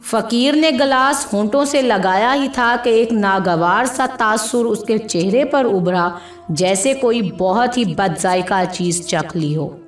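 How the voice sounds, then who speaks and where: A woman narrating a scripted story in a measured, expressive storytelling manner. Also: on the high side. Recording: echoey room with background music. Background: music.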